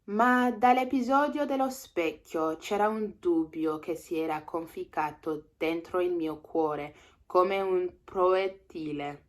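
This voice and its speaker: Young woman doing a casual read-along, lowish voice, stops to guess words. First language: French. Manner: reads slowly, pauses to translate and guess words. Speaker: language learner reading aloud.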